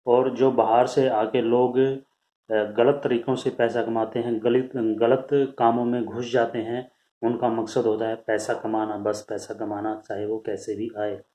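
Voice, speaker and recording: An Indian man hosting a news channel, giving unscripted commentary in a halting way, often tagging his sentences with a short filler word. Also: medium pitch, little pitch movement, mellow. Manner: halting, repeatedly says "okay" as a tag.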